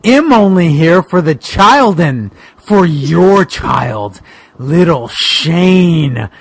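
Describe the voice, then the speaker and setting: A high, raspy monster-wrestler hero put on by a man, earnest and indignant. He speaks haltingly with melodramatic swoops, recorded close and dry. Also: expressive, no echo, full character voice. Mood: strained calm.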